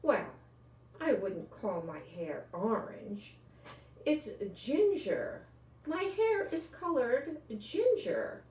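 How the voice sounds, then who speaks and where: Woman playing a gushing, theatrical big lady, swooping high. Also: sing-song, extremely slow, darkish tone, full character voice. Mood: prim, offended. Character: big, dramatic, vain lady customer doting on her cat. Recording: echoey room, distant microphone.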